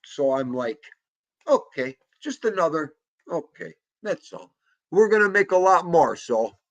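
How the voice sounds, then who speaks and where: A man's voice: fairly high pitch, big pitch swings, a little slow, no echo. A man hosting a casual livestream chat, greeting viewers and laughing often. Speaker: livestream host.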